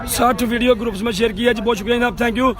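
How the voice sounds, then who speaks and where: A man's voice: very high-pitched, steady tone, very fast, music in the background. A man doing live cricket commentary outdoors, who keeps thanking viewers by name and asking them to share the stream.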